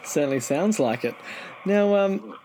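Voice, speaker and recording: A man's voice: high-pitched, expressive, measured pace, gravelly, darkish tone, a little hiss or hum, poor audio. A man hosting an interview, asking prepared questions in a conversational way. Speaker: interviewer, show host.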